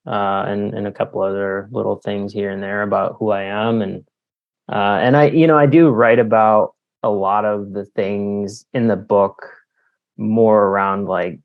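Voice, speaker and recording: A man's voice: lowish voice, lo-fi sound. A man from Canada talking casually as an interviewed author, explaining things in a hesitant, wordy way.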